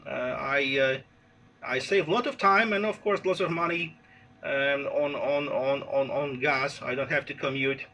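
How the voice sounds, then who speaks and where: A man with a Polish accent chatting casually as an amateur radio operator, speaking haltingly and searching for words. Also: mid-range voice, bright, forceful tone, muffled recording. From Poland. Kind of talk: casual radio contact chat. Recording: noisy unplanned handheld phone recording.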